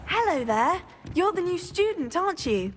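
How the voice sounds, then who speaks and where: A woman's voice: extremely high pitch, very animated, moderate pace, slight background noise. An actress playing an eager, polite and chatty schoolgirl witch in a video game. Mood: eager, friendly. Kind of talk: video game character acting.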